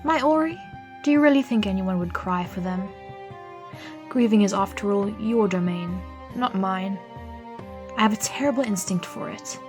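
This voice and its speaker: An actress playing a haughty, impatient immortal who toys with mortals in an audio drama, arch and imperious. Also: female voice, medium pitch, moderate pace, music in the background, lightly acted. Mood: amused, scornful.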